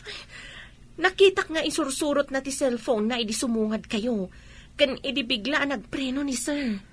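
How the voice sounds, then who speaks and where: A woman's voice: high-pitched, expressive, moderate pace, slightly rough. A woman playing a concerned, gossipy young friend in a radio drama, with a Filipino accent. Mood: worried, warning.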